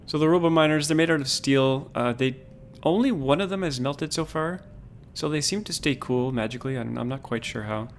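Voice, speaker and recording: Calm, low-key man streaming a game. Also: slight background noise. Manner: relaxed, unhurried, thinks aloud with restarts. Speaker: livestreamer. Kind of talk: casual gameplay commentary.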